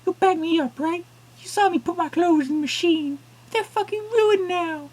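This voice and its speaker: A man voicing a furious, foul-mouthed woman who yells in a shrill, high voice. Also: moderate pace. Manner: shrill, yelling.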